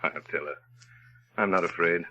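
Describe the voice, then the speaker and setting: An actor playing a brusque, sardonic well-to-do gentleman in a 1930s radio drama, with diction and a clipped, imperious, teasing manner. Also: low voice, fairly even intonation, normal speed, heavily acted. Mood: wry, tender. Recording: dull, lo-fi vintage radio recording.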